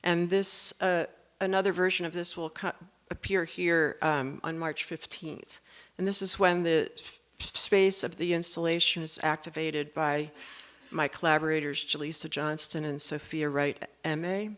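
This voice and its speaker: An artist presenting their own work in a slide talk, speaking with halting, measured phrasing. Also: mid-range voice, flat delivery, a bit bright.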